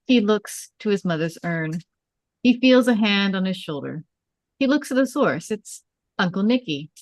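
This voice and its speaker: A woman reading screenplay stage directions in a measured way, as scripted narration at a table read. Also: medium pitch, expressive, no echo.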